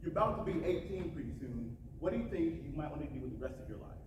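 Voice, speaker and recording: A man's voice: fairly high pitch, lively intonation, quick pace, natural voice. A young man on stage playing a worried, folksy middle-aged small-town father. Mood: gentle concern. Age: young adult. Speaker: student actor in a school one-act play. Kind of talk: theatrical stage acting. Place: large auditorium stage, distant microphone. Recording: echoey stage from far off, noisy.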